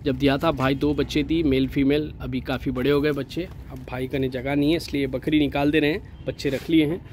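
A man's voice: mid-range voice, level tone, fairly quick, a little mellow, slight background noise. A man with a Hyderabadi accent, a goat farm owner giving a chatty, persuasive sales walkthrough outdoors in a goat pen. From India.

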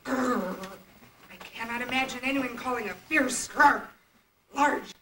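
Lady doing a fussy starving tiger in a puppet show, slow n whiny, echoey old tape. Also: fairly high pitch, natural voice. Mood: grumbling. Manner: plaintive, slow, theatrical growls. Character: hungry, fussy, self-pitying large tiger. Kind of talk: amateur stage puppet play. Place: indoor puppet stage, far camera. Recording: distant, echoey, noisy old camcorder tape.

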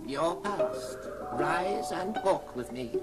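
A woman playing a gentle, solemn, ageless spirit in an old radio drama, speaking in measured phrases. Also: on the low side, animated. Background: music.

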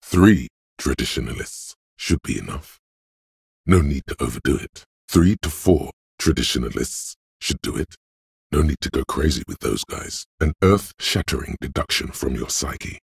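Sardonic voice of reason, bottomless bass, heavily husky, measured, analytical, scripted video game narration. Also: male voice, animated, dry recording, heavily acted. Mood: dry, analytical. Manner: measured, deliberate, dry, analytical. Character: cool, sardonic inner voice of logic.

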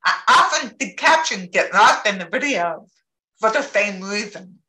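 Woman, deaf accent, careful deliberate speech, lively pitch, echoey video call. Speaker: presenter. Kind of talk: live talk on captioning. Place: home office with bookshelves.

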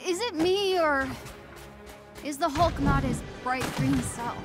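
An earnest teenage heroine in a video game. Her voice is high and youthful, plucky and sincere, with music clearly playing behind it. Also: takes it slowly. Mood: curious, concerned. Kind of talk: naturalistic video game acting.

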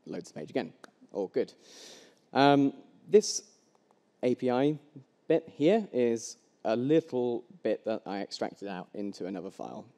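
Young tech speaker, relaxed and loose, deep-ish tone, headset mic in an echoing hall. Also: male voice. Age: young adult. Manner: casual, loose, many ums and restarts. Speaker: conference speaker. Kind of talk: live coding demo talk. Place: large hall with long reverb. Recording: echoey hall.

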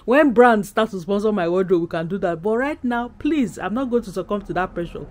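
A woman's voice: mid-range voice, expressive, brisk, darkish tone, slight background noise. A woman in her thirties from Nigeria with a Nigerian accent, talking casually to camera as a vlogger in a candid, emphatic, conversational way. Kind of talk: casual chatty vlog to camera. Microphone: camera at arm's length.

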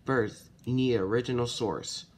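A man giving a casual software tutorial step by step, haltingly and correcting himself as he goes. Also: mid-range voice. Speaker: tutorial host. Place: indoors at a computer. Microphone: handheld camera or phone filming the screen. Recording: noisy handheld recording with computer clicks.

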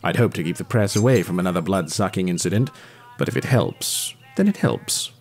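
An actor playing an earnest, awkward detective who speaks in a polite, wordy, formal way in an audio drama. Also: male voice, low voice, animated, fairly quick, darkish tone. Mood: polite probing.

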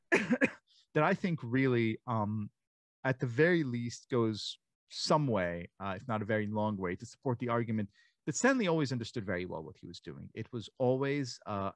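A middle-aged man from Israel, speaking from a home study on a video call, telling stories thoughtfully with many restarts and lively quoted voices. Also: medium pitch, no echo. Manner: reflective storyteller, many restarts and fillers, animated quoting. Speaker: author and guest speaker. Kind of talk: online lecture conversation. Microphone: desk mic on a video call.

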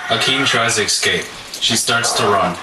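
A man's voice: medium pitch, normal speed. A man narrating a puppet-show folktale as a scripted story. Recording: echoey, distant, loud music behind.

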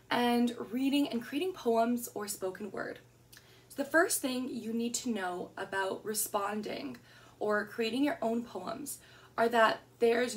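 A young woman teacher giving an online lesson from her home, speaking gently and encouragingly to children and reading a poem aloud. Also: high-pitched, distant mic. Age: young adult. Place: home room with plants and shelves, indoors. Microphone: webcam at desk distance.

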